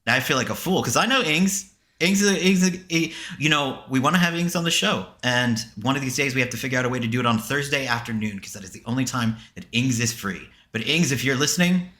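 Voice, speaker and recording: A man's voice: mid-range voice, animated, quick pace, bright, forceful tone. A man co-hosting a podcast in casual banter, emphatic and sweary, often repeating himself for effect. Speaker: podcast co-host.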